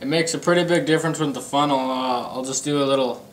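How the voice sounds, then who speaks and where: A young man with an average-pitched voice talks casually through a homemade project. His phrasing is loose and a little hesitant, like an informal hobby demonstration. It is an amateur camera recording with faint background noise. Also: fairly even intonation, fast. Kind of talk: casual show-and-tell. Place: indoor room with a pool table. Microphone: camera mic an arm's length or more away. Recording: noisy amateur camera microphone.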